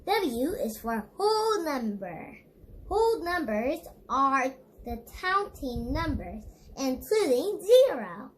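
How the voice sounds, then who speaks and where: A woman's voice: high-pitched, very animated, takes it slowly. A female reader reading an educational children's book aloud, stumbling over words now and then. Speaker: read-along book reader.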